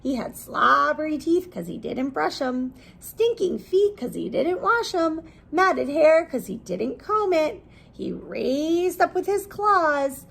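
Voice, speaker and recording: A woman reads a picture book to young children in a high, very animated voice. Her tone has a creaky, husky grain, and she explains things simply as she goes. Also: slow. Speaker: preschool teacher reading aloud.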